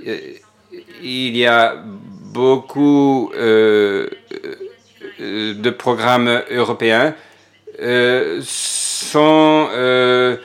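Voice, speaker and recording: A man giving simultaneous interpretation of a parliamentary speech, hesitant, with frequent filler pauses and restarts. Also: medium pitch.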